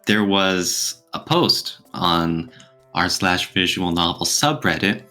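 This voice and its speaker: A man's voice: deep voice, expressive, slow pace, pushed and bright. A man co-hosting a casual podcast discussion, explaining his points and posing questions as he goes. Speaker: podcast co-host. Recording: music playing behind the voice. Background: background music.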